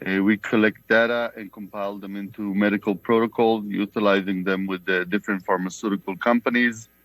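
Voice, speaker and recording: A man with a heavy Israeli accent giving formal testimony over a video call, explaining things in a halting way. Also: on the low side, steady tone, a bit bright, dry recording, low-quality recording. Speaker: company CEO giving testimony. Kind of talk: formal testimony at a remote hearing.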